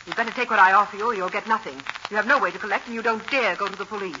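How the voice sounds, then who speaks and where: An actress playing a haughty, scheming widow with a defiant, dramatic manner in a 1940s radio drama. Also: female voice, medium pitch, rapid-fire, lightly acted. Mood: cool, haughty. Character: scheming, haughty wealthy widow, increasingly frightened. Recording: muffled, noisy 1940s radio recording.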